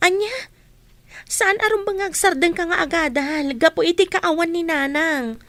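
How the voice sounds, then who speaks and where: An actress playing a burdened, reproachful eldest sister in a radio drama. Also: female voice, very high voice, moderate pace, fairly bright tone, no room sound. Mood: concerned, bitter.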